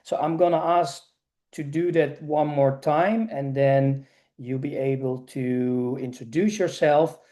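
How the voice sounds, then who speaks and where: A man with a fairly high, very mellow voice moderates an online meeting. He talks casually and haltingly, doubling up on words as he hands over to participants. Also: steady tone. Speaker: meeting host. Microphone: video call.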